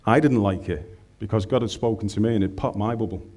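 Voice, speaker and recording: A man's voice: on the low side, fast, a little mellow. Scouse man preaching with humor. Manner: humorous, conversational, colloquial. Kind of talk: sermon.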